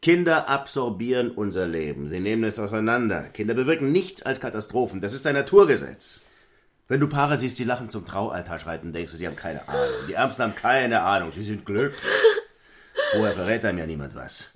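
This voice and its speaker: An actor playing a coarse, cynical middle-aged husband in an audio drama, blunt and sardonic. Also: male voice, fairly low pitch, big pitch swings, fairly quick. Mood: ranting. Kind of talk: theatrical audio play acting.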